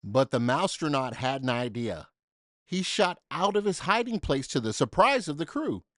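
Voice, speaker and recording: A man reading a children's story aloud in an expressive, dramatic way, with deliberate emphasis for young listeners. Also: fairly high pitch, no room sound, studio quality. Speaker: storybook reader.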